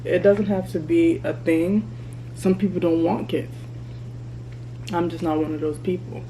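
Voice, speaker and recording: A woman in her thirties talking candidly to her vlog camera while she eats, chatty and relaxed. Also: lowish voice, unhurried, slight background noise, poor audio. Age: 30s. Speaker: vlogger. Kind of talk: casual mukbang vlog chat. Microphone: camera mic.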